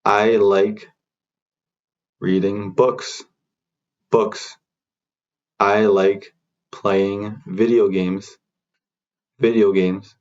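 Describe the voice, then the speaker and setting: Slow drilling male English teacher. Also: lowish voice, flat delivery, lo-fi sound. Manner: repeats short phrases slowly with pauses. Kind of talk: slow repeat-after-me drill.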